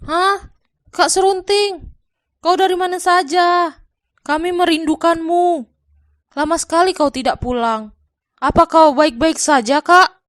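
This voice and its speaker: Dutiful younger brother for folktale cartoon played by a woman; raised pitch, respectful, earnest. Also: unhurried, long pauses, fairly bright tone, no echo, clean studio sound. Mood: surprised, concerned. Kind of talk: cartoon folktale character acting.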